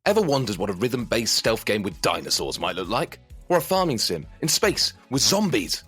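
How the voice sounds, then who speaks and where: A man delivers a scripted podcast promo as its host, with very lively, swinging intonation over light music. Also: fairly low pitch, normal speed, husky.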